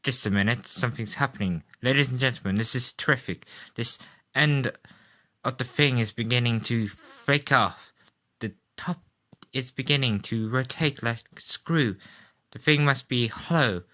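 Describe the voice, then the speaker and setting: A man playing an excitable radio reporter in an old-style radio play, giving a dramatic, halting eyewitness account. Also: medium pitch, close to an everyday voice. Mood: excited. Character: excitable male radio reporter, live eyewitness, awed and horrified.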